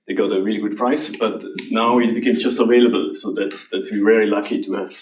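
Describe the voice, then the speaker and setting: A man from Switzerland, answering audience questions about AI in a halting, restarting way. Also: fairly low pitch, steady tone. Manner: halting, restarts often, laughs lightly. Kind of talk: conference Q&A. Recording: roomy hall, voice from a distance.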